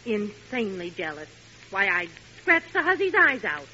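An actress playing a sharp, wryly possessive housewife with a teasing, sardonic manner in an old-time radio sitcom. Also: female voice, medium pitch, very animated, moderate pace. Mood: mock jealous, teasing. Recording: muffled, hissy vintage radio broadcast.

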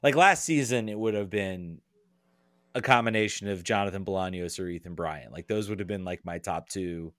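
Low-voiced man talking soccer, thinking aloud. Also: moderate pace, a bit bright, dry recording. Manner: hesitant, thinks aloud, hedges. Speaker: podcast guest, soccer analyst. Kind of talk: casual sports discussion.

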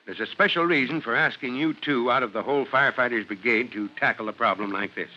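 Commanding old-radio fire chief, muffled sound. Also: male voice, medium pitch, quick pace, sharp tone. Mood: firm, instructing. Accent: American. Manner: authoritative, blustery exclamations. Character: gruff, commanding middle-aged male fire chief, fatherly. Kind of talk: vintage radio drama acting.

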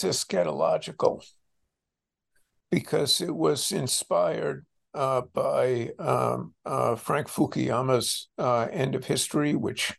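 An older American man, a scholar being interviewed, speaks reflectively and haltingly, often circling back over his phrases. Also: mid-range voice, gravelly. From United States. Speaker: interviewed author, scholar and former policy official.

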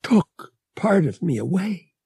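Man voicing an anguished, breathy young woman. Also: sits high, sing-song, mellow, dry recording, clean studio sound, voice pushed up, heavily acted. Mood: anguished, yearning. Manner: halting, pleading, emotional. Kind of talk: audiobook character voice.

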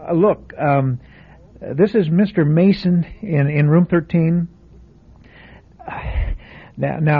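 Guy doing a nervous stammery insurance man, slow talker, tinny old radio. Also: fairly high pitch, husky, heavily acted. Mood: flustered. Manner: jittery, stammering, talking to himself. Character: nervous middle-aged male insurance investigator. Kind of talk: classic radio drama acting. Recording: tinny old radio broadcast with faint noise.

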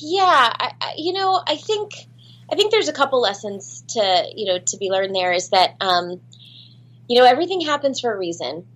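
A woman's voice: a little high, big pitch swings, normal speed, a bit bright, husky. A woman guest in an interview, chatting casually and laughing at herself, with lots of ums and restarts. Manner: chatty, self-deprecating, many fillers and restarts. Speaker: voice actress guest.

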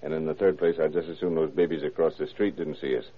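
A very deep-voiced male detective, shrewd and commanding. He speaks in dry, clipped, sarcastic lines. The sound is a narrow, muffled vintage radio recording. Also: fairly even intonation, quick pace. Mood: firm, assured. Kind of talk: old-time radio detective acting.

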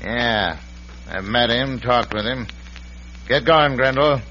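American crooked frontier lawyer, forceful, calculating, vintage radio drama with faint noise. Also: male voice, medium pitch, slow. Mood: scheming, irritated. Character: scheming, bossy crooked frontier lawyer villain. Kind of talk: old-time radio western acting. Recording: dull vintage radio with hum.

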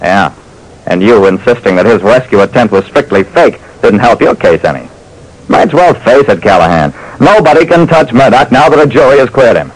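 Dramatic radio detective, animated delivery. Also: male voice, medium pitch, breathy edge. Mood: weary, blunt. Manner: dramatic, deliberate. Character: hard-boiled male private detective telling his cases. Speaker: narrator. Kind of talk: old-time radio detective narration. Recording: noisy old radio recording.

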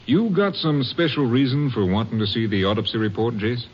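An actor playing a steady, businesslike American Texas Rangers captain in an old-time radio drama. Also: male voice, mid-range voice, fairly quick, lightly acted. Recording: dull, slightly noisy old radio recording.

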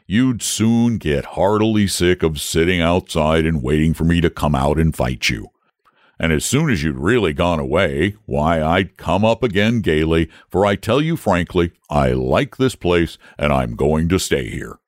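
A man's voice: sits low, lively intonation, measured pace, no echo, clean studio sound. A man voicing a big, genteel, old-fashioned storybook dragon with a drawling, testy, fussily polite manner. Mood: smug, stubborn. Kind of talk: storybook character voice.

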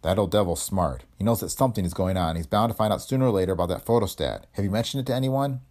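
A man's voice: on the low side, fast talker, a little mellow, close to an everyday voice. A man voicing a cocky, sardonic, hard-headed investigator in a hardboiled detective story, wry and tough-talking. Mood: wary. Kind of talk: hardboiled detective audiobook dialogue.

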